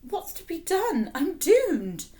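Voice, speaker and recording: A man's voice: very high voice, huge pitch swings, slow, dark tone, voice pushed up, full character voice. A woman voicing a vain, gullible king in a storybook, with anxious, dramatic exclamations. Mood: horrified.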